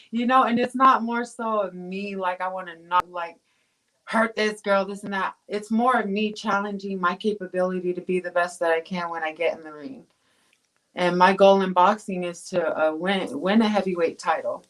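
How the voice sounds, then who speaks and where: A woman's voice: mid-range voice, rough recording. A woman from Tonga chats casually as an interview guest. She rambles a little, with many fillers and restarts. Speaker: guest, pro boxer.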